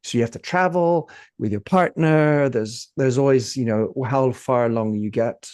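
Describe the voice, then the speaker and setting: A male podcast host asks questions in a loose, conversational way. He wanders, backtracks and restarts his sentences, filling the gaps with hesitations before reaching his point. His voice sits at a middle pitch. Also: moderate pace, a little mellow. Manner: rambling, self-interrupting questions. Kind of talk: conversational interviewing.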